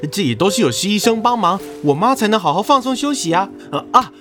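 Eager high-voiced carpenter. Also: male voice, very animated, fast talker, bright, forceful tone, faint music behind, exaggerated voice. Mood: eager, bashful. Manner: hesitant, effusive. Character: eager, bashful, chatty male village carpenter. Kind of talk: Chinese audio drama acting.

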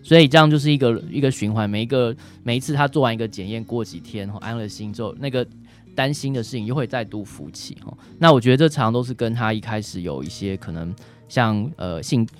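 A man's voice: medium pitch, fast, faint music behind. A man with a Taiwanese accent, a doctor explaining conversationally as a radio guest, often ending phrases with short tag particles. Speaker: doctor answering questions, guest.

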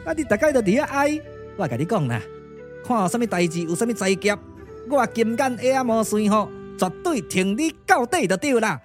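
Cheeky, boastful puppet-drama sidekick. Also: male voice, sits high, very animated, a little fast, music in the background. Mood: reassuring, cocky. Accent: Taiwanese. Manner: chatty, colloquial, full of interjections. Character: cheeky, boastful, streetwise little sworn brother, comic sidekick. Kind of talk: Taiwanese puppet theatre radio drama acting.

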